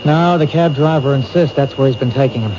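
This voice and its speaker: An actor playing a firm, earnest police detective. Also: male voice, medium pitch, a little fast, natural voice. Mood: concerned. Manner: firm and reassuring. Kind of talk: 1970s radio drama acting. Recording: muffled old radio broadcast with hiss.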